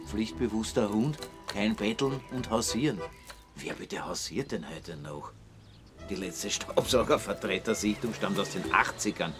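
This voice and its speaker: An actor playing a sardonic, nosy amateur detective in a radio play, speaking dryly and colloquially. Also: male voice, fairly low pitch, close to an everyday voice. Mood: mocking. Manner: dry, sarcastic, colloquial. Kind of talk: naturalistic radio play dialogue. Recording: outdoor ambience with birds and insects.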